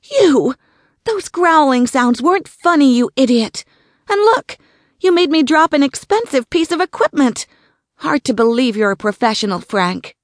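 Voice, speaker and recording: A woman's voice: medium pitch, moderate pace, few pauses, no room sound, studio quality, natural voice. A woman voicing a bold young female cryptid hunter barking urgent orders into a radio. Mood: furious. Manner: urgent, bossy, shouting into a radio.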